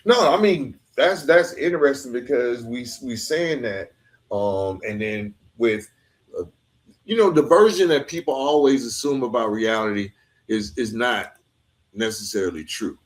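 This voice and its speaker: An American man hosting a casual podcast interview, searching haltingly for his questions. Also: mid-range voice, expressive. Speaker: podcast host.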